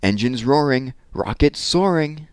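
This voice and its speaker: A man reading a children's story aloud as host, with an expressive, deliberate storyteller delivery. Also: medium pitch, smooth, no room sound, clean studio sound. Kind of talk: expressive children's picture book read-aloud with informal asides.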